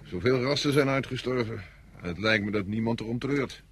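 An actor playing a fatalistic, brooding soldier in a Dutch radio drama, speaking philosophically. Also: male voice, mid-range voice, moderate pace, lightly acted. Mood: brooding, detached. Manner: philosophical, reflective. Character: fatalistic, brooding soldier curious about death.

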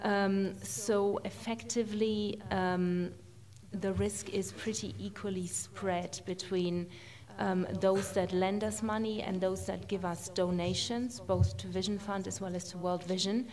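A woman's voice: medium pitch, steady tone, slow pace, darkish tone. A woman answering on a panel for a development charity, hesitant and full of fillers.

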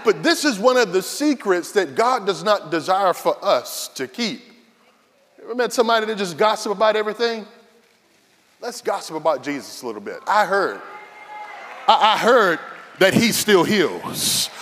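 A man in his thirties preaching an animated, fervent sermon as a pastor, repeating phrases rhythmically for emphasis. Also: high voice, unhurried. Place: large church auditorium stage. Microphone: handheld microphone. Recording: noisy large hall with long reverb.

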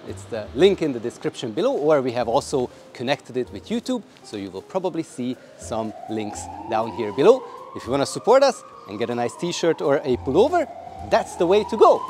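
A man's voice: a little high, darkish tone, slight background noise. A man in his thirties from Hungary with a Hungarian accent, casually presenting a travel vlog to camera outdoors on a city street. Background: music.

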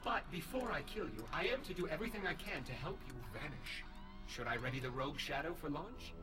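An actor playing an eager, courteous droid servant in a video game, formal and chirpy. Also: male voice, a little high, lively intonation, brisk, echoey room, distant mic, music in the background, lightly acted. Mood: polite, eager. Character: polite, eager humanoid droid servant, cheerfully homicidal. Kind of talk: cinematic video game voice acting.

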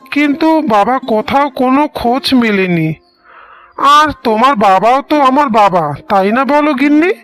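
A man's voice: extremely high pitch, expressive, moderate pace, fairly bright tone, clean tone. An actor voicing a trusting young husband in a cartoon, speaking earnestly and reassuring his wife. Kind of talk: dubbed cartoon voice acting.

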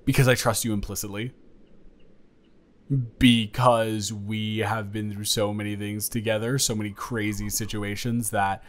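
An incredulous young guy at a festival, acted by a man in an intimate audio roleplay, at a medium pitch. He rambles to his close friend with emphatic, halting phrases and dropped asides. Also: natural voice. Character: excitable, incredulous young male festival buddy and influencer. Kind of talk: improvised audio roleplay to one listener.

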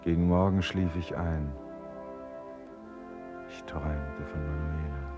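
A brooding, anxious male traveller with an extremely low voice. He narrates his journey in a literary radio play. Music runs clearly behind the words. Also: steady tone, slow, highs cut off. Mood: somber, reflective. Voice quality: very low-pitched. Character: anxious, reflective man recounting his jungle travels.

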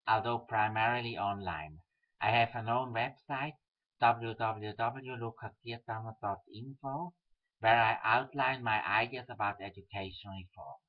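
Swiss German man reading a webcam letter. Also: fairly low pitch. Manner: earnest, careful, slightly stilted reading. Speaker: webcam video message sender. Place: small room at home, indoors. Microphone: webcam built-in mic. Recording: dull lo-fi webcam audio.

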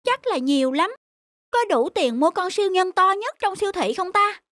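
A woman's voice: very high-pitched, animated, moderate pace, a bit bright, no echo, studio quality. A woman voicing an eager, chatty, slightly whiny little child in a cartoon. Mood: eager, curious. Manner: childish, chatty, polite to elders. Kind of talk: cartoon voice acting. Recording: music playing behind the voice.

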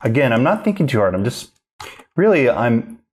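A man's voice: mid-range voice, big pitch swings, normal speed, breathy edge. A man in his thirties thinking aloud as he demonstrates digital drawing, casual and rambling. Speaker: art instructor. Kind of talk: live drawing demo narration. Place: home studio office.

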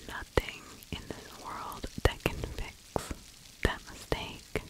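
A middle-aged woman whispering ASMR true crime commentary close to the microphone, hushed and halting with pauses. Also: rough recording. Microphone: close microphone with fluffy windscreen.

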